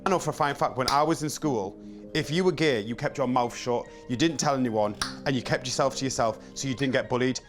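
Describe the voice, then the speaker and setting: A man's voice: mid-range voice, a little fast, faint music behind. A young man with a Northeast English accent, chatting playfully on a reality show and talking reflectively to camera. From northeast England. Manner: chatty, playful, loud exclamations. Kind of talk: casual chat and confessional voice-over.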